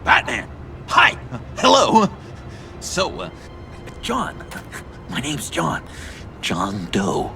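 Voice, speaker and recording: Game role: fawning, unhinged young male oddball, theatrical and stammering, hugely animated pitch with gravelly breaks. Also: drawn-out words, pauses often, heavily acted. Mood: giddy, starstruck. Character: excitable, fawning, nervous young male oddball superfan with a manic laugh. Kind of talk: video game voice acting. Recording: music behind the voice.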